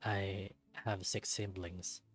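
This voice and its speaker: A man voicing a suave, guarded raccoon in a visual novel, soft-spoken and measured, with a bitter edge under the teasing. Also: low voice, flat delivery, faint music behind. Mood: subdued, bitter.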